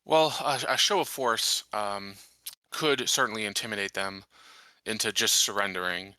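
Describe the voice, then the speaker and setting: A man playing a tabletop roleplaying game, reasoning through his character's dialogue in a measured, hesitant way. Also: on the low side, sharp tone, dry recording.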